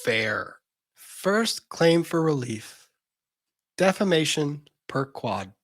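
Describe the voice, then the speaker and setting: A man's voice: on the high side, big pitch swings, extremely slow. A man hosting casual legal commentary in a blunt, exasperated, sometimes profane way.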